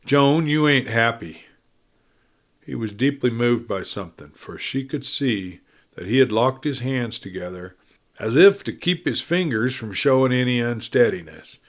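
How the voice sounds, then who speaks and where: A man narrating a western novel as an audiobook, in a measured, deliberate storytelling style with dramatic pauses. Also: medium pitch, expressive.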